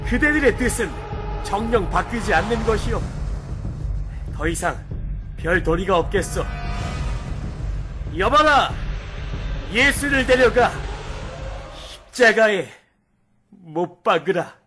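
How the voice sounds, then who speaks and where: Man acting a high-pitched, stern Roman governor. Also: expressive, slow pace, pauses often, sharp tone, soft background music, exaggerated voice. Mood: agitated, commanding. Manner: declamatory, formal old-fashioned speech. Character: stern, troubled Roman governor, weary and authoritative. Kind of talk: children's Bible story audio drama.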